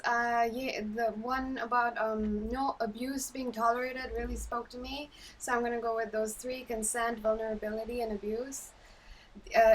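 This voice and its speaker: Woman from Bangladesh, fairly high voice, hesitant personal sharing, distant mic on a group call. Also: a bit bright. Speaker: group participant.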